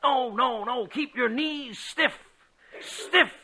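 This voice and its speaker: An actor playing a brusque, bossy gym trainer in 1940s radio comedy, barking out emphatic instructions. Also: male voice, very high voice, slow pace, sharp tone, heavily acted. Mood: exasperated, bossy.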